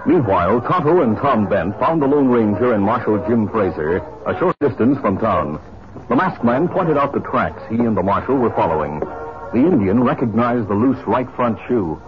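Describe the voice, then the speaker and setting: A man's voice: on the low side, big pitch swings, normal speed, over music. A man with an American accent narrating a scripted 1950s western radio drama. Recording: muffled, noisy old radio recording.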